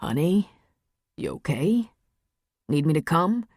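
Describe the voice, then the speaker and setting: A woman voicing a steady, protective, affectionate adult man in an audiobook. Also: sits low, slow pace, no room sound, studio quality, exaggerated voice. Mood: calm, tender.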